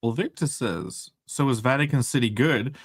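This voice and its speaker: A man's voice: mid-range voice, expressive, moderate pace, breathy edge, dry recording, clean studio sound. A man with an English accent, co-hosting a casual comics chat show, swearing freely and restarting his sentences. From England. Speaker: podcast co-host.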